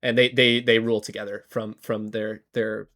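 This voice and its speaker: A man co-hosting a podcast, slightly hoarse from a cold, talking casually with lots of fillers and restarts. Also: fairly low pitch, flat delivery, normal speed, a bit bright. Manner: rambling, many fillers and restarts. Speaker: podcast co-host. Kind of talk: casual history chat.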